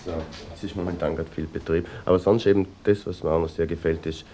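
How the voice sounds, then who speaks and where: Deep voiced austrian guy from vorarlberg, broody, rambles and stumbles, radio play documentary feel. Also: fairly even intonation, warm tone, slight background noise. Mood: earnest, musing. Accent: western Austrian, Vorarlberg. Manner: halting, rambling, ends phrases with a questioning tag. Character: brooding, obsessive small-town man, earnest amateur thinker. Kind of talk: naturalistic mock-documentary radio drama.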